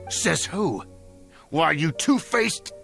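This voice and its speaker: A man's voice: fairly high pitch, expressive, takes it slowly, vocal fry, a bit bright, soft background music, heavily acted. An actor playing a fawning, scheming nobleman in a video game, obsequious and blustering. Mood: indignant. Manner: obsequious, blustering when challenged.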